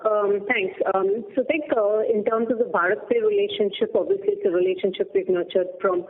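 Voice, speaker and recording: A woman's voice: medium pitch, expressive, a little fast, a little hiss or hum. A woman explaining business points as a bank executive on an earnings call. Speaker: bank executive answering analysts. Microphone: telephone conference line. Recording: narrow phone line.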